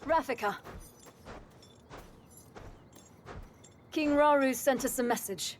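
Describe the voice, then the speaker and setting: Woman acting forthright young warrior, higher voice. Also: normal speed, natural voice. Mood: urgent. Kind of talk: video game cutscene acting.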